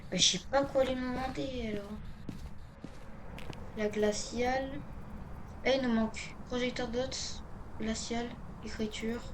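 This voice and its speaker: Higher-pitched woman muttering through gameplay. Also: steady tone, unhurried, pauses often. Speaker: video game streamer. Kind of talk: casual gameplay commentary, thinking aloud. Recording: clear background noise, game ambience.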